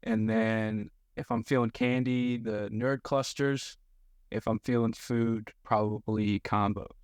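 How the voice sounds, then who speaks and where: A young man, a baseball pitcher, giving casual answers as a podcast guest. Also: lively intonation, slow, no echo, clean studio sound. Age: young adult. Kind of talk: casual interview chat.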